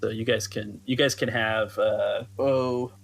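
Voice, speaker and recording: A man with a somewhat low voice serves as game master. He describes settings and rules in an informal, conversational way. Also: steady tone, measured pace, vocal fry, soft background music. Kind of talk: casual narration of a tabletop game.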